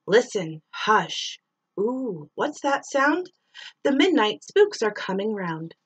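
A middle-aged woman reads a children's rhyming story aloud in a fairly high voice. She takes it slowly, stretching words and playing up the sound effects as a teacher does for young pupils. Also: very animated, breathy edge. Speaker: school teacher reading to pupils. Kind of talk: expressive read-aloud of a rhyming children's picture book.

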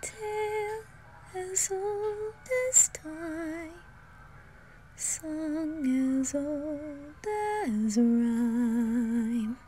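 High-voiced woman singing slowly over music. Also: fairly even intonation. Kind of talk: slow soft singing of a ballad. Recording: music behind the voice. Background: soft music.

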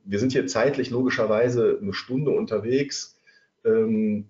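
A man in his thirties with a cold leads an online trading webinar, pausing often and filling gaps with hesitations. His voice sits fairly low. The sound is roomy and a little muffled, like webinar audio. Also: warm tone. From Germany. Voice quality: halting, many filler sounds. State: has a cold. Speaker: trading coach. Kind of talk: webinar presentation.

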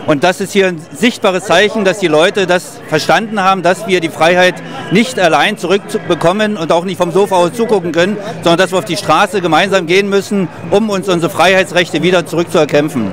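Protest organizer, man, higher-pitched, fluent and rallying, loud crowd behind. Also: fairly even intonation, fairly quick. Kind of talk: street interview. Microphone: handheld interviewer mic.

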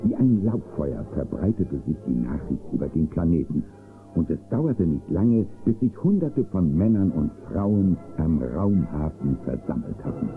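A man's voice: rumbling low, very animated, normal speed, exaggerated voice. A man narrating a space western audio drama as a grave storyteller. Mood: measured storytelling. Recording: muffled tinny old cassette audio drama. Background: music.

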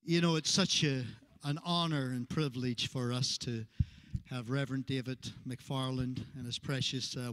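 An older man, the church's pastor, introducing a guest preacher with a handheld mic at a pulpit. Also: medium pitch. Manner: warm, praising, standing at a pulpit. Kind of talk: formal introduction. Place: church sanctuary stage.

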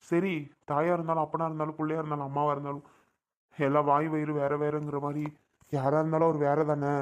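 Man playing a harried brother, slightly high-pitched. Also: lightly acted. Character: harried adult brother in a family money dispute. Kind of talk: colloquial family drama dialogue.

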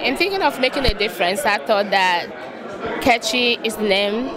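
A woman's voice: on the high side, moderate pace. A woman, a charity founder speaking earnestly and proudly, repeating her key phrases. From Nigeria. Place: crowded indoor event hall. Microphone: handheld mic held by interviewer. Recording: crowd chatter behind.

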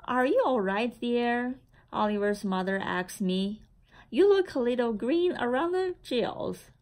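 A woman reading a children's picture book aloud in a deliberate, expressive storytelling manner. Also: on the high side. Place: indoor room with framed certificates on the wall.

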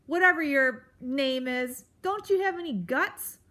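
Lady doing a grouchy old porcupine guy, loud, bossy, slow and cranky. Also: high voice, voice pushed up. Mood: cranky, bellowing. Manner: bellowing, insulting, cranky. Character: grumpy old male porcupine, loud and scornful. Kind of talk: storybook read-aloud.

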